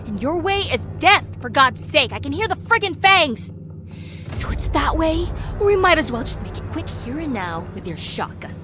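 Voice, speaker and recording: Tough, blunt female convict, played by an actress, highish voice, very expressive, sarcastic, scored with music. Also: moderate pace. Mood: defiant, urgent. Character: tough, sardonic female prisoner in a deadly game. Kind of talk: audio drama acting. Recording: music under the voice.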